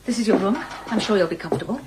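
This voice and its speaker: An actress playing a bitter, haughty middle-aged matron with a breathy voice in a radio drama. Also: female voice, medium pitch, rapid-fire, noisy background, close to an everyday voice. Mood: frosty, scornful. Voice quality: breathy, husky. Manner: frosty, disdainful.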